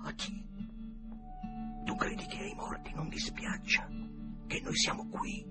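A man's voice: high-pitched, lively intonation, fairly quick, over loud music, voice pushed up. A man voicing a frightened young boy who whispers urgently and tries to sound in charge, in an audiobook story. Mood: uneasy whispering. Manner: breathy whispering, urgent hushes.

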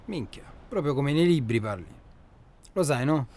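A man playing a gruff, down-to-earth hunting companion in a radio drama, terse and colloquial, with a southern Italian accent. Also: medium pitch, animated, moderate pace, a little mellow, close to an everyday voice. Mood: teasing, sceptical. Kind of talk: naturalistic radio drama dialogue. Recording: clear ambient noise behind.

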